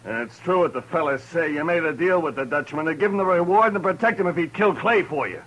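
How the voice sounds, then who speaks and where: Adam, higher-voiced vengeful western man. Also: quick pace, a bit bright, lo-fi sound. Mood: accusing, threatening. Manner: confrontational, accusing. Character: vengeful, accusing western townsman, hot-headed and menacing. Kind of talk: old-time radio western acting.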